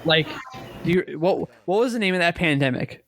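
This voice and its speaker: A man co-hosting a podcast, chatting casually about video games in a halting way, often repeating and rephrasing. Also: on the high side, animated, fairly bright tone, a little hiss or hum. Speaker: podcast co-host.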